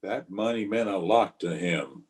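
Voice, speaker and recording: Man acting as a weary, low-voiced frontier marshal. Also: expressive, unhurried. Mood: dry, weary. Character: weary, conscience-stricken frontier marshal, grave and plainspoken. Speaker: actor and narrator in an amateur radio play. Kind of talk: old-time radio western acting. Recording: voice recorded over an internet call.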